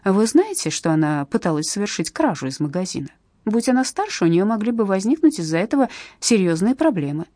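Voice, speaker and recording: A woman's voice: mid-range voice, big pitch swings, a little fast, dark tone, no room sound, studio quality. Woman reading as a prim, nervous social worker. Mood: stiff.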